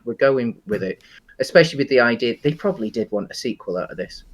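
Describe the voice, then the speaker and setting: Guy co-hosting a casual movie chat, mid pitch, kinda halting, hedges his takes as he goes. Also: lively intonation. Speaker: co-host of a video game film discussion show. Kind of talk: casual film discussion.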